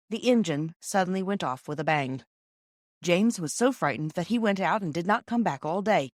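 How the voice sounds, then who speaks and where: A woman's voice: lowish voice, no room sound, clean studio sound. A woman reading a children's novel aloud as scripted audiobook narration. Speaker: audiobook reader.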